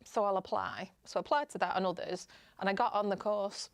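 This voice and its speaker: A woman's voice: medium pitch, fairly even intonation, a little fast, dry recording. Middle-aged woman, Northern English accent, casual storyteller, self-deprecating, chatty, dry sound. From northern England. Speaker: podcast guest, public speaker.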